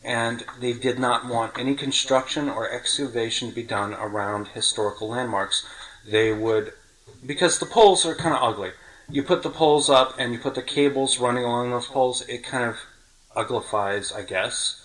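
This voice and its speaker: A man's voice: medium pitch, steady tone, normal speed, fairly bright tone, poor audio. A middle-aged man hosting a podcast, chatting casually and reminiscing in a talkative way, often rephrasing himself. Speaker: podcast host.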